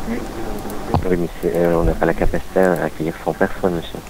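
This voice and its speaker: Male phone receptionist, lower-pitched, formal and procedural, faintly noisy call recording. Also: wildly swooping pitch, moderate pace, gravelly, darkish tone. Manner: polite, firm, procedural. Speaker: restaurant employee answering the phone. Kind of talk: formal telephone customer service.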